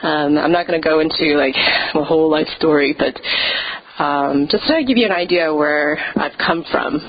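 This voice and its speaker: Lower-voiced woman sharing testimony. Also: moderate pace. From Canada. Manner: casual and conversational, with frequent hesitations. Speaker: pastor. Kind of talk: personal testimony at a church service. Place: church sanctuary. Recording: muffled lo-fi church recording.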